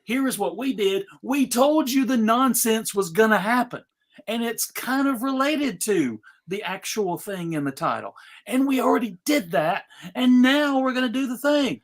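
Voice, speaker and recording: A man's voice: very high-pitched, expressive, normal speed. A man hosting a casual comedy panel online, with a wry, mock-formal emcee manner. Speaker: host of a live panel show.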